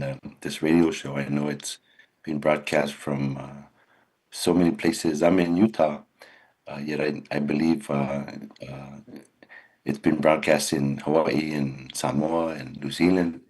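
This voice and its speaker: An older man from California speaks as a radio guest, his voice sitting extremely low with a husky edge. He talks earnestly and without hurry, often circling back to restart a sentence. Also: darkish tone. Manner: earnest and unhurried, with many restarts. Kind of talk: radio interview recounting his career.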